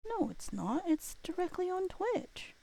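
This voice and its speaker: A woman chats casually as a livestream host, rambling and playful as she mutters to herself. Her pitch moves around a great deal, often dropping into vocal fry. The sound is close and dry. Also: big pitch swings, a little slow, mellow, no echo. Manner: rambling, talks to self while working, playful.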